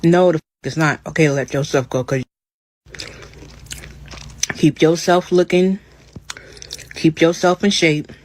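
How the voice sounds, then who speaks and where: A woman's voice: low-pitched, measured pace, pauses often, a little mellow, no room sound. A woman giving a blunt, profane lecture in a clipped monologue. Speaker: clip speaker. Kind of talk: ranting monologue.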